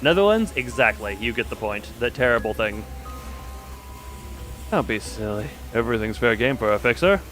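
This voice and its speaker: A man's voice: medium pitch, lively intonation, moderate pace, a bit bright, faint music behind. A man commentating live on a game stream, chatting with his viewers as he plays.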